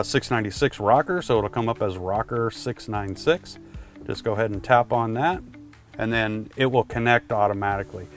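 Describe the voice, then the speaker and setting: A man walks the viewer through a how-to. He speaks informally and conversationally, like a presenter explaining gear step by step, with music faintly behind him. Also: on the low side. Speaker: presenter giving product tips. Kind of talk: casual instructional explainer.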